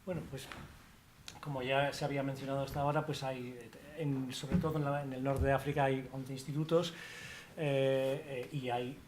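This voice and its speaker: A man's voice: mid-range voice, little pitch movement, moderate pace, a little mellow, a little hiss or hum. Rambling man on a panel. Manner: rambling, many restarts and fillers, informal asides. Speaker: academic director of a language institute. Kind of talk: panel talk at a colloquium.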